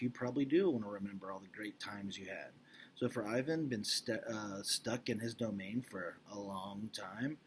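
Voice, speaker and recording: A man's voice: medium pitch, a little hiss or hum. A man speaking as a teacher, casually recapping and reading a storybook aloud in an informal, explanatory way, checking in with listeners.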